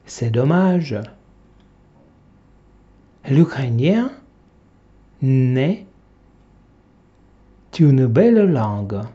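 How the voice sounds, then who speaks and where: A man teaching a language, reading a textbook dialogue aloud one short phrase at a time, with long pauses for learners to repeat. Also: medium pitch, sing-song. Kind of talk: slow dictation-style reading for repetition. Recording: dull lo-fi classroom recording.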